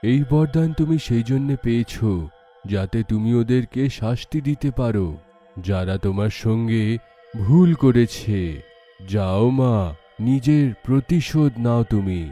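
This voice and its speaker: A man voicing a solemn god who grants vengeance in a story, speaking in halting, commanding phrases. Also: mid-range voice, lively intonation, mellow, faint music behind.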